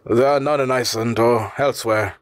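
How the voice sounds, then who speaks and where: A man's voice: mid-range voice, a little fast, no room sound, lightly acted. A man voicing a courteous, proud Icelandic male professor in an audiobook, speaking formally, earnestly and eloquently. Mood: admiring, solemn.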